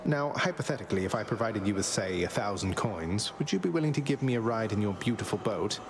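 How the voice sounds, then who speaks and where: An actor playing a wry, cocky young prince in a fantasy video game, dry, casual and polite. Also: male voice, on the low side, lively intonation, fast talker, gravelly, noisy background. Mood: cheeky.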